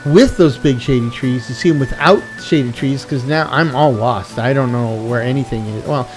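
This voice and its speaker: A man's voice: mid-range voice, normal speed. Middle-aged man rambling with light music behind. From USA. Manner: meandering, self-correcting, reflective. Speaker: video game streamer. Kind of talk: rambling casual chat.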